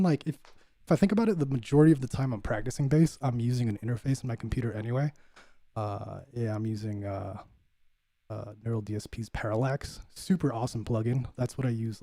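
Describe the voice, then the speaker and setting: A man hosting his own livestream, chatting casually with viewers in a relaxed, laid-back way, full of fillers and calling people "bud". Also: lowish voice, no room sound, clean studio sound. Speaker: livestream host, bassist. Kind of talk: casual chat reading viewer comments.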